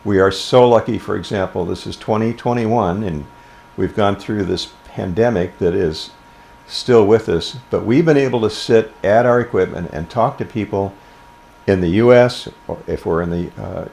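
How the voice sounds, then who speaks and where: An older man giving an informal instructional talk about a hobby, speaking plainly and with deliberate phrasing. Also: fairly low pitch, creaky, a little mellow, a little hiss or hum. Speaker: amateur radio presenter.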